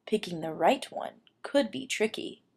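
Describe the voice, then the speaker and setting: A woman voicing a shrewd old cockroach grandmother in a storybook, giving advice in a measured, knowing way. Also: mid-range voice, lively intonation, vocal fry, slightly rough, exaggerated voice. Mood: assured.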